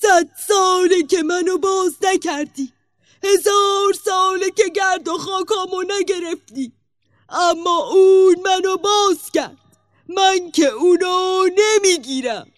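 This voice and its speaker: A woman voicing a neglected, indignant door in a storybook tale, complaining loudly and rhythmically. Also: very high voice, no room sound, clean studio sound, pitched above the performer's own voice. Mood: indignant refusal. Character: neglected garden door refusing its master's order.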